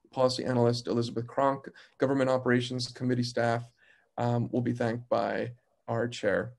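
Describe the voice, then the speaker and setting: A man in his thirties giving an earnest, measured opening statement as committee chair over a video call from a home office. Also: medium pitch, gravelly.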